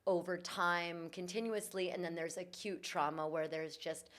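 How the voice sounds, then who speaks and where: Woman guest, yoga and voice teacher; low-set voice, earnest reflective storytelling. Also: steady tone, moderate pace, a bit bright. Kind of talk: conversational interview. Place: in Bali.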